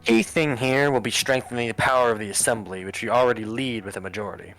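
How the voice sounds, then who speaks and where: A man voicing a formal government adviser who briefs the president in a measured, expository way in a political game. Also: medium pitch, a bit bright, lightly acted, low-quality recording. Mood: formal briefing. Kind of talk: scripted political game voice-over. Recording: music under the voice. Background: background music.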